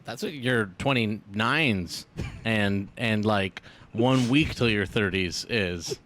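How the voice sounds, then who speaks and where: A man's voice: fairly low pitch, slow, a bit bright, a little hiss or hum. A man co-hosting a live stream, chiming in with short, dry, teasing remarks. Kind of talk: casual livestream chat.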